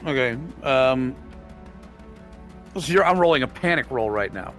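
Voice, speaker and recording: A man's voice: medium pitch, expressive, a little slow, fairly bright tone. A man chatting casually as a player in a tabletop roleplaying game. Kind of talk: casual game chat with friends. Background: music.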